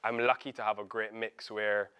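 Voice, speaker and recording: A man with a Barbadian accent talks in a reflective interview style. His voice sits fairly low and has a clean, resonant sound. He speaks as someone explaining his own views and background. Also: flat delivery, moderate pace, bright, forceful tone, clear, resonant tone.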